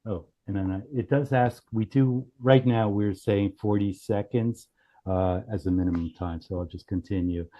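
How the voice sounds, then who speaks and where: A man giving a casual software demonstration in a halting way, thinking aloud as he goes. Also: lowish voice, fairly even intonation, highs cut off. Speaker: company founder demonstrating software. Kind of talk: casual product demo.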